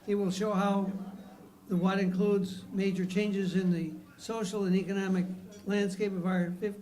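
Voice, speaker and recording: A man's voice: sits high, little pitch movement, normal speed, darkish tone, low-quality recording. A man hosting a club meeting, reading announcements and introducing the guest speaker with hesitant fillers.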